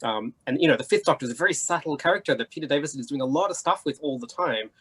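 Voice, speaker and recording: A man's voice: fairly high pitch, expressive, very fast, fairly bright tone, breathy edge. A man speaking as a convention panelist, explaining his points discursively in an informal discussion.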